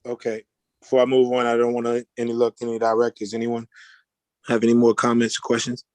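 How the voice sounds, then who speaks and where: A man chairing a formal virtual meeting in a halting, courteous way. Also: mid-range voice, completely flat delivery, a little mellow, no echo.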